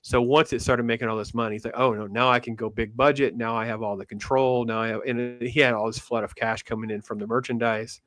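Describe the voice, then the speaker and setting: A middle-aged man as a guest in a casual chat, explaining things in a wordy, informal, animated way. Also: medium pitch, fast talker, hardly any pauses, dry recording. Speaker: panel guest.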